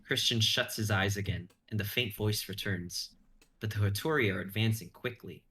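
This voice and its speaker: Young adult man, low register, suspenseful dramatic narrator for an action fantasy. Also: normal speed, bright, forceful tone. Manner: intense, suspenseful storytelling. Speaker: stream host and narrator.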